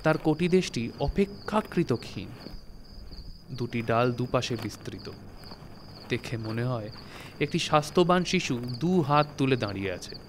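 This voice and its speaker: A man reading a literary story as narrator, in a measured, phrase-by-phrase storytelling manner. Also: medium pitch, expressive, a little hiss or hum. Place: recording studio. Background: music.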